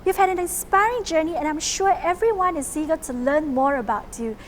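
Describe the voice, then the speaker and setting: A woman hosting an event, reading scripted introductions in a loud, upbeat way. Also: sits high, fairly quick, a little hiss or hum. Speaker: event host.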